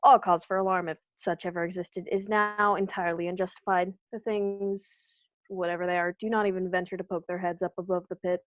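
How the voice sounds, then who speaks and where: A woman's voice: fairly low pitch, little pitch movement, a little fast, no room sound, natural voice. Young woman acting a military captain, slightly dull sound. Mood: brisk, assured. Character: confident army captain reporting from the field. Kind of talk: radio drama live field report. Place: bedroom at home. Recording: dull, muffled home recording.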